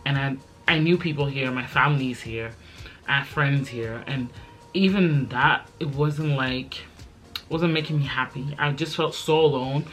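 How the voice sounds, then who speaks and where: Twenties woman rambling in a chit chat vlog, lots of likes and ums, faint music behind. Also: a bass voice. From Belgium. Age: 20s. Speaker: YouTuber.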